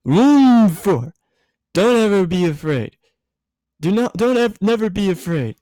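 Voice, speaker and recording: A man's voice: high voice, expressive, measured pace, mellow, no echo, clean studio sound, voice pushed up. A man voicing a tipsy, giggly, bossy woman handing out rambling, emphatic love advice in a fan fiction reading. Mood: tipsy, insistent.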